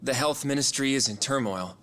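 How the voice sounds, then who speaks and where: Actor as bright-voiced, polite junior lawyer. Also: male voice, medium pitch, a little fast, natural voice. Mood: measured. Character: polite, earnest male junior attorney. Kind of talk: video game cutscene dialogue.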